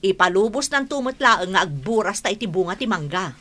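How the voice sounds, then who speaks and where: A woman's voice: mid-range voice, expressive, a little fast, pushed and bright. A woman playing an emphatic, melodramatic wife in a radio drama, with an Ilocano accent. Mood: bossy, scheming. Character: bossy, greedy wife who turns frantic, then remorseful.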